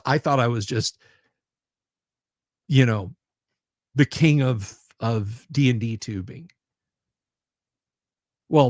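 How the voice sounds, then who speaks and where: A man's voice: medium pitch, dry recording, clean studio sound. A man hosting a casual livestream, rambling, repeating his words and making sarcastic asides while reading viewer comments. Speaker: livestream host.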